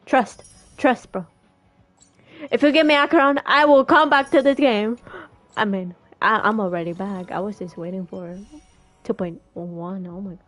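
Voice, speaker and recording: A woman game streamer chatting casually in a slangy, halting, rambling way. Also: mid-range voice, fairly bright tone, no room sound, faint music behind. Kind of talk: casual gaming stream chat.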